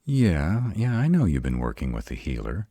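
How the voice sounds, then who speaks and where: Very deep, grumpy male were-dragon, acted by a man. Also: wildly swooping pitch, moderate pace, warm tone, dry recording, studio quality, full character voice. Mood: suspicious, teasing. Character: grumpy, protective tsundere male were-dragon guarding his mountain. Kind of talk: scripted roleplay audio drama.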